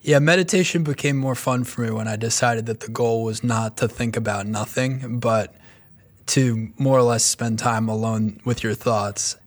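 A man hosts an interview in a relaxed, conversational manner. His voice sits at a middle pitch. He often restarts his questions partway through. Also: moderate pace. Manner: easygoing, with small restarts. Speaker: podcast host.

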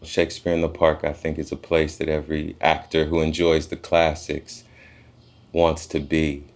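A man's voice: very deep voice, monotone, normal speed. A man, a stage actor, talking in an interview. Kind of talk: interview talk.

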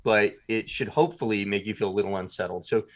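A man's voice: on the low side, fast. A man talking as a guest in a podcast interview, explaining his production work in detail and acting out short examples.

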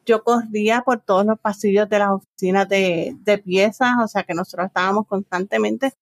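A middle-aged woman with a Puerto Rican accent talks as an interview guest. Her voice sits at a middle pitch with plenty of creak. The answers are conversational, and the sound is dry and close. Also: fairly even intonation, normal speed, no echo. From Puerto Rico. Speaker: interview guest, business owner and trainer.

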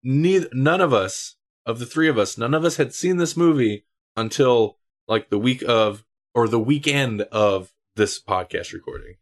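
A man hosts a podcast in a medium-pitched voice. He talks casually about film in a loose, conversational style. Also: expressive, measured pace. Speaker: podcast host.

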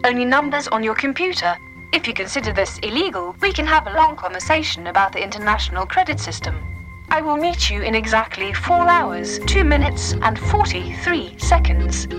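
A high, prim female humanoid AI, acted by a woman in an audio drama. She is touchy and literal-minded, choosing formal, exact phrasing with a very animated pitch that swings through each curt line. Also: normal speed, over music, close to an everyday voice, rough recording. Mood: stern, matter-of-fact. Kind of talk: scripted audio comedy drama acting.

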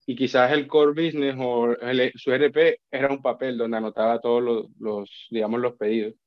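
A man's voice: medium pitch, little pitch movement, normal speed, no echo, muffled recording. A man from Colombia with a Colombian Spanish accent, chatting as a podcast guest in a reflective way, often restarting and repeating words. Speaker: guest, account manager. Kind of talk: casual podcast conversation.

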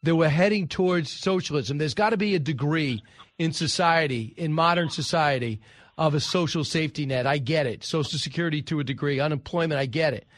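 A man's voice: fairly high pitch, a little fast, no echo. A man hosting a talk show, chatting and asking pointed questions in a conversational way. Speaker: host. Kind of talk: radio talk interview.

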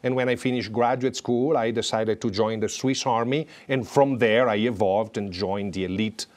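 A middle-aged man from Italy, a guest recounting stories in an interview with animated, good-humored delivery. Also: on the low side. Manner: warm-humored, animated storytelling with brisk asides. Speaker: guest, former papal guard. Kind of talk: storytelling interview.

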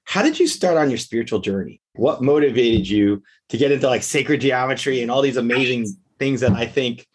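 A man's voice: mid-range voice, a little fast, husky. A man hosting a radio talk show, introducing a guest in an upbeat, enthusiastic way. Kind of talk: interview hosting, reading an introduction.

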